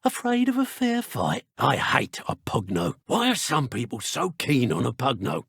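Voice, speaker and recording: A male actor playing a menacing dark wizard thug in a video game, with an English accent and rough, taunting, working-class speech. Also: mid-range voice, huge pitch swings, measured pace, no room sound, clean studio sound, full character voice. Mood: sneering. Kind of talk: video game combat barks and enemy dialogue.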